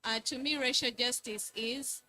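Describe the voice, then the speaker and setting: A woman's voice: fairly high pitch, unhurried, noisy background. A young woman from Uganda, a climate activist, giving a short, hesitant statement at a panel.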